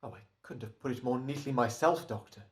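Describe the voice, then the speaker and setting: A man's voice: mid-range voice, fairly quick, natural voice. A man playing a smug, careful hired killer, speaking with hesitant, mannered politeness in a radio drama. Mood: wary, smug. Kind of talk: old-time radio drama acting.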